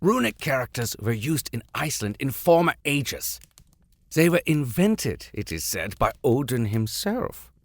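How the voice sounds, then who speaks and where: A man's voice: medium pitch, very animated, measured pace, no room sound, studio quality, voice pushed up. Man acting an imperious old professor, wildly swooping delivery. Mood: lofty, scolding. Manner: declamatory, exclamatory.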